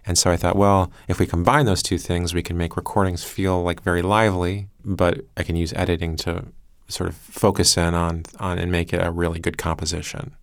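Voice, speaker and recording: A man's voice: low-pitched, level tone, a little fast, dry recording, studio quality. A man who produces a podcast, explaining his work reflectively in an interview with hesitant, thoughtful phrasing.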